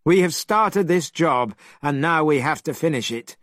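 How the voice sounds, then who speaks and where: A man's voice: fairly high pitch, animated, a little slow, a bit bright, dry recording, studio quality, pitched above the performer's own voice. A man doing a bold, bossy monkey hero.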